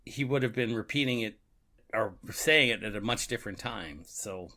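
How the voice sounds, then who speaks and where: A man's voice: on the low side, normal speed, sharp tone. A man co-hosting a podcast, casually reflecting and thinking aloud with a chuckly manner. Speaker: podcast co-host. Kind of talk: casual book and radio drama discussion.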